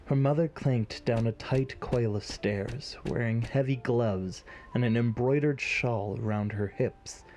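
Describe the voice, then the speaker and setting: A man's voice: mid-range voice, unhurried, few pauses, a little mellow, poor audio. A man narrating a scripted fantasy story in an audio drama.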